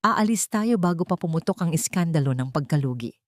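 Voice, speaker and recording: A woman's voice: deep voice, lively intonation, fairly quick, no echo, studio quality. A woman voicing a scheming, two-faced wealthy wife in an audio drama, mixing English and Tagalog. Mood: cold, menacing. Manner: code-switches between English and Tagalog.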